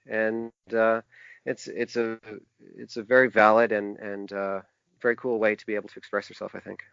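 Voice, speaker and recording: A man being interviewed as a guest, talking casually in a thoughtful, halting way and explaining things in detail. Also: lowish voice, little pitch movement, creaky, a bit bright, smooth, dry recording. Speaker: podcast guest, author and indie publisher. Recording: dull, dry lo-fi podcast audio.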